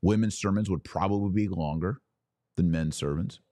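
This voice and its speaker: A man's voice: deep voice, moderate pace, warm tone, no room sound. A man hosting an opinionated religious podcast, delivering an emphatic, preachy monologue addressed straight to his audience. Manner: emphatic, preachy, rhetorical questions and asides. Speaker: podcast host and religious commentator.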